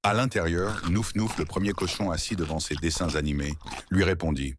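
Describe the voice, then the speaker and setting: A man narrating a scripted children's story with expressive, playful storybook delivery. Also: sits low, brisk, slight background noise.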